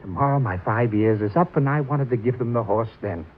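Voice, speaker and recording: An actor playing a gentle, remorseful old man in a vintage radio drama, husky and earnest, with a halting, pleading way of speaking. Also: medium pitch, natural voice. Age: older. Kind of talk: 1950s radio drama acting. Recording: tinny, narrow-band vintage radio recording.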